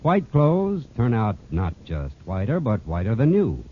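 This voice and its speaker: A man's voice: lowish voice, wildly swooping pitch, unhurried. A male radio announcer reading a scripted 1940s commercial in a persuasive, emphatic way. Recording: tinny, noisy vintage radio recording.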